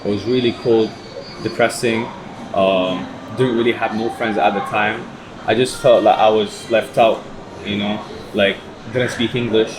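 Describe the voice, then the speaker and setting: Young guy, 19, chill and repeaty, talks kinda low, noisy room. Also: fairly even intonation, measured pace. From Iraq, raised in Canada. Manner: easygoing, repeats himself, says "bro". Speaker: guest. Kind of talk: casual interview chat. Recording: noisy, air conditioning hum.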